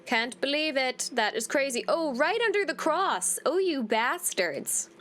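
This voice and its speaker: A woman with a fairly high voice hosts a game playthrough. She is chatty, wry and playful, moving between casual remarks and reading aloud. There is faint noise behind her. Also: expressive, unhurried, fairly bright tone.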